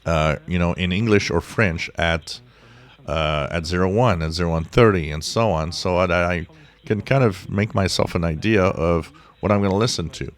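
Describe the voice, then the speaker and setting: A man giving a casual tutorial as a hobbyist, thinking aloud in an unhurried way. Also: low voice. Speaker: shortwave radio hobbyist presenting a tutorial. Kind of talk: casual explanatory screen-share tutorial.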